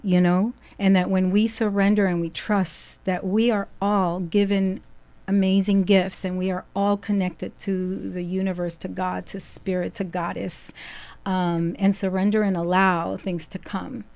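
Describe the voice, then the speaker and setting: A woman's voice: medium pitch, little pitch movement, unhurried, darkish tone, dry recording. A middle-aged woman from Ecuador with a Brooklyn accent, a radio show guest talking reflectively and repeating phrases for emphasis. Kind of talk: casual chat. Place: radio studio.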